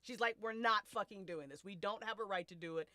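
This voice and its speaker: Bright, forceful American woman, casually emphatic. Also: mid-range voice, brisk, no room sound. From United States. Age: late 20s to early 30s. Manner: emphatic, swearing, slangy. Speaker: podcast creator and host. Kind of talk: casual panel chat.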